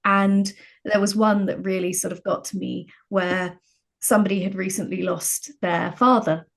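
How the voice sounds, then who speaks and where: A woman's voice: medium pitch, fairly even intonation, moderate pace, creaky. A woman speaking as a podcast guest, telling anecdotes and examples in a conversational, reflective way. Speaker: podcast guest, games writer.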